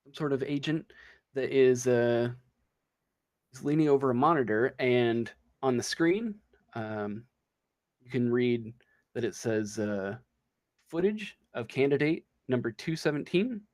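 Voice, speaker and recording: A man running a tabletop game as game master, improvising the narration haltingly as he thinks aloud. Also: medium pitch, expressive, darkish tone.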